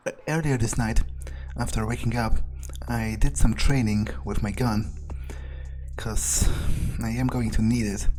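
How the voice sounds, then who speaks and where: A man's voice: lowish voice, steady tone, unhurried, a little mellow, soft background music, low-quality recording. A man narrating a game playthrough in character, thinking aloud in a musing, casually profane way. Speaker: gamer playing in character.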